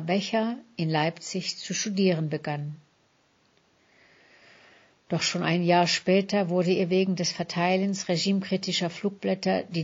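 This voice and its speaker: A woman reading a scripted literary feature as a presenter, in a measured, articulate manner with deliberate emphasis. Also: on the low side, a little mellow, highs cut off.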